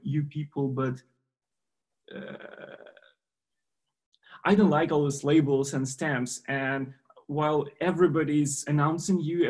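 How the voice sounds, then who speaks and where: A man interviewing a novelist, his speech halting as he restarts words and searches for phrasing. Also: medium pitch, gravelly. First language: Ukrainian. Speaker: interviewer at a book forum. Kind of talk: interview questions.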